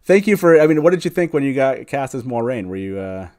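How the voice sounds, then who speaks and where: A man's voice: medium pitch, big pitch swings, quick pace, darkish tone. A man hosting a casual group chat, talking in a rambling way with repeated phrases and frequent chuckles. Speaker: host. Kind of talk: casual chat, going round the guests.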